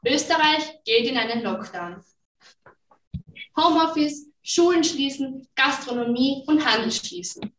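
A high-pitched young woman reads her lines like a news bulletin, plain and matter-of-fact. She is heard from across a roomy classroom through a distant camera mic. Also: expressive, a little slow, a bit bright, slight background noise. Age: teens. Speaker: narrator in a school play. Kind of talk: announcing dates and news like a bulletin. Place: large school classroom. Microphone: far camera.

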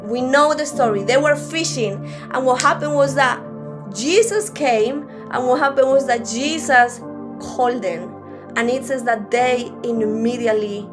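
Young female lay preacher, Spanish accent, higher voice, earnest and conversational, video-call sound with prominent music underneath. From Spain. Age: young adult. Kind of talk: informal teaching with personal testimony. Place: small room at home. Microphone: laptop on a video call.

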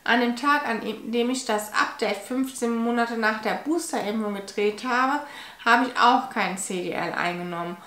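A woman's voice: on the high side, pushed and bright. Tired nasal woman in echoey room. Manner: tired, halting, with restarts. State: nasal from a cold. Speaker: vlogger. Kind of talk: personal health vlog. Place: home living room, indoors. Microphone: fixed camera at medium distance.

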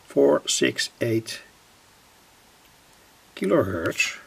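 A man from the Netherlands with a Dutch accent, explaining electronics informally in halting, deliberate phrases. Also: mid-range voice, very animated, rough recording. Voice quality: gravelly, husky. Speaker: electronics hobbyist, vlogger. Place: indoors at a home electronics workbench.

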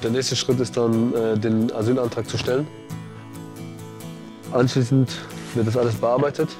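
A man in his late twenties from Afghanistan, a footballer calmly explaining things in a conversation. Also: medium pitch, normal speed. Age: 20s. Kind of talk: conversational interview. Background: guitar music.

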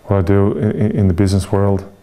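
Reflective Irish man, low mellow voice. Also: flat delivery. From Ireland. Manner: reflective, halting with many fillers and restarts. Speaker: interview guest. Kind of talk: candid interview talk.

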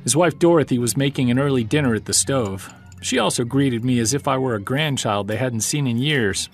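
A man plays a podcast investigator telling his story in a scripted audio drama. His mid-pitched voice is measured and wry, observant as he narrates and interviews, with music clearly playing behind him.

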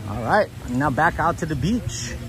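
Male livestream host, fairly high voice, laid-back and chatty, recorded walking outside with noticeable ambient noise. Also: animated, moderate pace. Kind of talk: casual livestream chat, greeting viewers. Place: outdoors, walking along a beach. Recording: noisy outdoor ambience, breezy.

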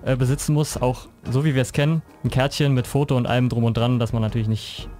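A man's voice: mid-range voice, level tone, fast talker, dark tone, soft background music. A man co-hosting a radio show, explaining things in casual chat.

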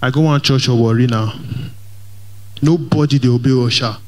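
A young man with a Nigerian Pidgin English accent doing lively stand-up comedy in a large church hall, speaking into a handheld mic and mixing Pidgin with English as he works the crowd. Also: medium pitch, normal speed. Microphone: handheld microphone.

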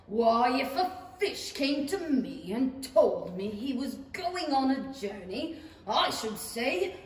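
A slow, high-voiced, pompous and mournful turtle creature acted by a woman in a storybook radio play. It is recorded in an echoey theatre from a distance. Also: very animated, heavily acted. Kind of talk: 1930s-style radio play acting on stage. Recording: echoey theatre stage, distant mic, hiss.

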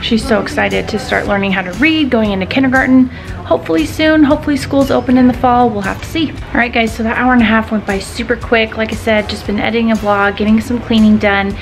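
A woman in her thirties vlogs her family's day in a high, upbeat voice. She talks straight to viewers from a camera held at arm's length, with music playing behind her. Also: quick pace, creaky. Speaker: family vlogger, mom. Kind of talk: casual vlog chat to camera. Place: home, car and backyard pool. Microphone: handheld camera at arm's length.

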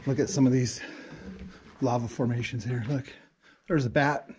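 A man's voice: medium pitch, steady tone, moderate pace, a little mellow, slight background noise. A middle-aged man hosting a casual vlog, pointing things out as he walks through a cave and filming on a handheld camera. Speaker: vlog host. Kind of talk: casual narration while exploring. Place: inside a lava tube cave. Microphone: handheld action camera.

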